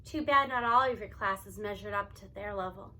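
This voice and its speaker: A woman's voice: medium pitch, moderate pace, pushed and bright, voice pushed down, lightly acted. A woman voicing an earnest, friendly grown man, a businessman, in a storybook read-aloud, speaking plainly and sincerely. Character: earnest, friendly grown-up male former student, now businessman.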